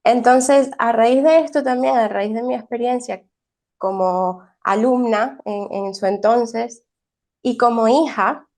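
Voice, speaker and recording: Thoughtful woman teacher, slightly high voice. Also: slow pace, a little mellow. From Venezuela. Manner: thoughtful, many restarts and tag questions. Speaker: early childhood teacher. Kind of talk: conversational interview answers.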